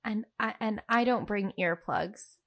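A woman's voice: mid-range voice, unhurried. A woman co-hosting a podcast in casual, conversational chat. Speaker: podcast co-host.

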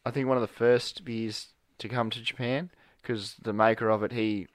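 A man's voice: mid-range voice, fairly even intonation, normal speed, dry recording. A young man hosting a casual podcast chat, rambling and hesitant with many fillers. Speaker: podcast host.

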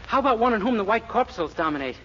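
A man's voice: high-pitched, expressive, rapid-fire, a bit bright. A man playing a naive, eager young store clerk with muddled logic in a 1940s radio comedy. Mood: earnest, innocent. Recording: dull, noisy old radio recording.

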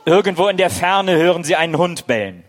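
Man, narrator, comedic audio play, theatrical storytelling, animated intonation. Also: sits high, a little fast.

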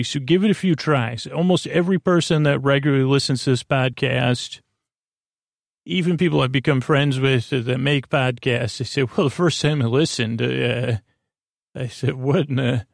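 A man with a creaky voice hosting a bedtime podcast in a meandering, halting, goofy monologue that keeps interrupting itself. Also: mid-range voice, no room sound, studio quality. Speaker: podcast host. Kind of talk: rambling bedtime monologue to help listeners sleep.

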